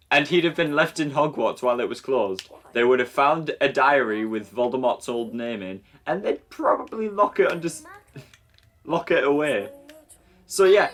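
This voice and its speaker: A man's voice: medium pitch, fairly bright tone. A man in jokey, sweary banter over a film, often exclaiming in mock outrage. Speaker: host of a comedy film commentary show.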